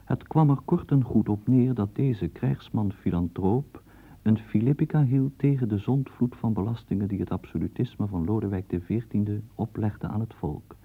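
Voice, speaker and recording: A man narrating a historical radio program in a measured, ironic storytelling manner. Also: on the low side, slightly rough. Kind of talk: scripted documentary narration. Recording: tinny phone-like old broadcast.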